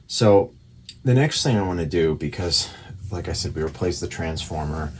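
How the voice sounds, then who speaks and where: Low-voiced man thinking aloud, noisy recording. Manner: halting, thinking aloud while working. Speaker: radio repair hobbyist and presenter. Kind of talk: casual hands-on repair demonstration. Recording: steady background noise with clicks.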